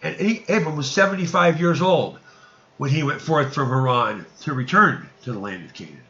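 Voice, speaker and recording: A man reading archaic scripture narrative aloud in an emphatic, declamatory and sometimes halting way. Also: on the high side, lively intonation, bright, forceful tone, lo-fi sound.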